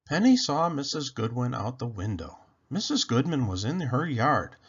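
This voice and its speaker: A man reading a children's story aloud in an expressive, animated, deliberate way. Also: mid-range voice, slightly rough, highs cut off. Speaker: storybook read-aloud host.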